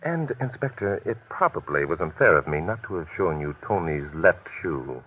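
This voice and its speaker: An actor playing an urbane, self-assured amateur detective in an old radio mystery, measured and precise with light amusement. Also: male voice, low-pitched, very animated, husky, full character voice. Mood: smugly explaining. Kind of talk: 1940s radio mystery acting. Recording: muffled, hissy old radio recording.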